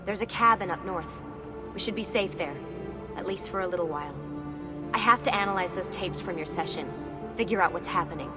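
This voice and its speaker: A woman's voice: fairly low pitch, brisk, music in the background, close to an everyday voice. An actress playing a determined young woman leading a covert team in a video game, earnest and businesslike. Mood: resolute. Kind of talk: dramatic video game cutscene acting.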